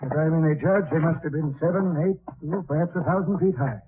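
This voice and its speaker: On a tinny, band-limited old radio recording, a man plays a learned professor on an expedition. He speaks in a fairly high male voice, weighing each thought in a measured, scholarly manner, in the style of 1940s radio drama. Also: background noise, natural voice. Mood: pondering. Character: learned alien professor, careful, pondering scientist.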